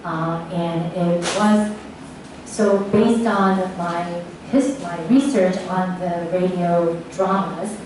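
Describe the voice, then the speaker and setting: A woman speaking as an academic, answering audience questions after a lecture in a hesitant, thoughtful, measured way. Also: fairly low pitch. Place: large echoing lecture room, distant mic. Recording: echoey hall from far off, faint noise.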